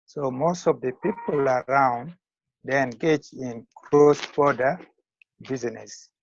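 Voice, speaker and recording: An older man in his sixties with a Ugandan accent, giving measured, formal interview answers as a school founder. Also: medium pitch, creaky. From northern Uganda. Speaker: school founder and retired teacher. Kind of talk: interview answers on a video call. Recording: muffled lo-fi call audio.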